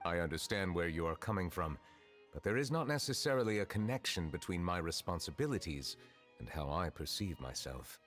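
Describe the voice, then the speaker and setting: Very deep, solemn chief justice. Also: male voice, expressive, a bit bright. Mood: reserved, formal. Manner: formal, measured, grave. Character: solemn, reserved male chief justice, secretly a dragon. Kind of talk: scripted video game dialogue. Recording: background music under the voice.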